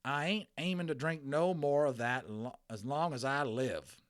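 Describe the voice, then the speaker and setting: A man voicing a cranky, self-pitying tiny cowboy with a Texas accent and a gruff, shouting drawl. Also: medium pitch, moderate pace, dry recording, clean studio sound, pitched above the performer's own voice, close to an everyday voice. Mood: angry.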